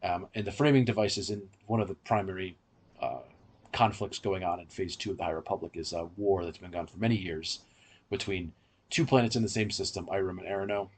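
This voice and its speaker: A man's voice: deep voice, brisk, slight background noise. A man hosting a review podcast, explaining things in a casual, conversational way. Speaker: podcast host reviewing audio dramas.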